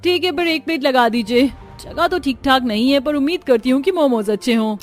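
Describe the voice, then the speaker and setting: A woman's voice: high voice, a little fast, soft background music, close to an everyday voice. A woman playing chatty young village women in a cartoon story. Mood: curious.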